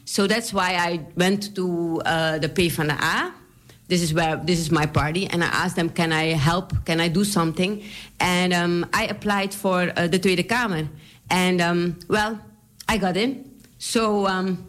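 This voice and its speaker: A woman's voice: fairly low pitch, fairly even intonation, a little slow, fairly bright tone. A woman with a Surinamese accent, a politician telling her story in an interview, chatty and laughing often. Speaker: politician guest.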